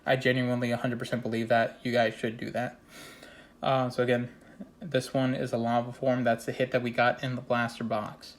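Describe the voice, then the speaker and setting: A man with a slightly stuffy, nasal voice from congestion walks through his trading card pulls. His delivery stays level and even, with little rise and fall. He talks earnestly, pausing on fillers and restarting sentences. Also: medium pitch. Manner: steady, earnest, repeats opinions with many fillers. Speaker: card collecting channel host. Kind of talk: casual card showcase vlog.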